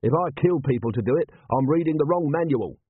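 A man's voice: fairly high pitch, expressive, fairly quick, slightly rough, pitched above the performer's own voice. A man voicing a weary, indignant watch commander, blunt and commanding, in an audiobook. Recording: thin, band-limited, phone-like sound.

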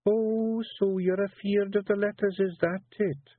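A man's voice: high-pitched, little pitch movement, no echo, phone-quality sound, pitched above the performer's own voice. A man doing a bossy wee Scottish pixie wife. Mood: coaxing. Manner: brisk, coaxing and bossy. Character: sharp-witted female pixie clan wife, bossy.

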